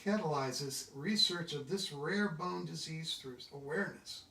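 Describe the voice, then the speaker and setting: Male book reader, slightly high voice, reading aloud, roomy and distant recording. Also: a little slow, vocal fry, bright, forceful tone. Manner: stumbles over long words. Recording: echoey room, distant microphone.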